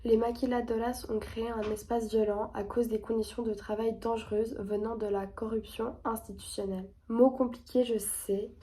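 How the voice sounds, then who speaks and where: A woman's voice: on the high side, level tone, moderate pace, few pauses, warm tone, recorded from a distance. A young woman with a cold, speaking, earnestly explaining a topic step by step as the host of an educational video. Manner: clear, earnest explaining, reading definitions and figures. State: stuffy, has a cold. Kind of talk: explanatory presentation.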